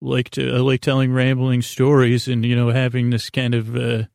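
A man hosting a bedtime podcast, talking in a meandering, halting, silly way with frequent repeats and a creaky voice. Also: mid-range voice, monotone, a little mellow, no room sound, clean studio sound. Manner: silly, playful banter with an imaginary guest. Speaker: podcast host. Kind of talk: rambling bedtime podcast monologue.

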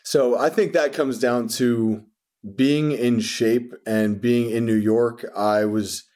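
A man's voice: mid-range voice, steady tone, takes it slowly, darkish tone. A man, a fitness coach, telling stories earnestly in a casual interview, often restarting his sentences. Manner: earnest, rambling, frequent restarts.

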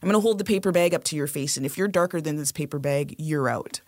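A woman's voice: deep voice, fast talker, a little mellow, no echo, clean studio sound. A woman interview guest, talking in a direct, emphatic way. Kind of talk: interview conversation.

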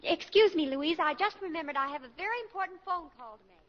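A woman's voice: very high voice, fast talker, a bit bright. An actress playing a self-assured, crafty teenage college girl in 1940s radio comedy, breezy and dismissive. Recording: dull vintage 1940s radio recording.

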